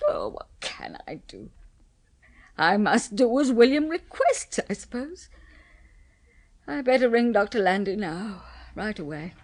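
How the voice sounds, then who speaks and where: An actress playing a distressed, resentful but dutiful widow in a 1970s radio drama, theatrical and exclamatory. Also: female voice, mid-range voice, very animated, measured pace. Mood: resigned.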